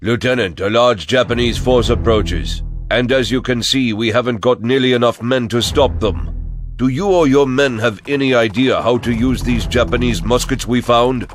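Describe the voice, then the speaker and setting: Man acting a grave, mid-pitched castle commander. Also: moderate pace, soft background music, lightly acted. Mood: worried. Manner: formal, grave. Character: worried Korean castle commander. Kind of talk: dramatic video game cutscene acting.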